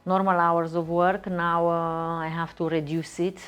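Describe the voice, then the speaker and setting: A woman's voice: fairly low pitch, flat delivery, unhurried, smooth. A woman answering interview questions as a patient, hesitant and full of fillers and repeated words. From Romania.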